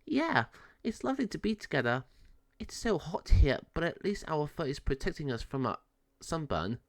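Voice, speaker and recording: Guy doing a friendly happy girl cat, high lilty voice, kids story. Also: big pitch swings, normal speed. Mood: content. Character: cheerful, affectionate young female cat, friendly. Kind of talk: children's storybook read-aloud.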